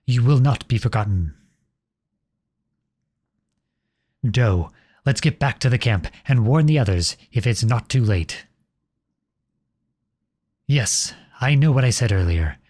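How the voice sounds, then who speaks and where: A man playing a noble runaway outlaw prince in a dramatic audio roleplay, earnest and imploring, with a husky voice. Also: medium pitch, lively intonation, measured pace, darkish tone, no room sound, studio quality. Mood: grieving, subdued. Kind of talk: dramatic ASMR roleplay acting.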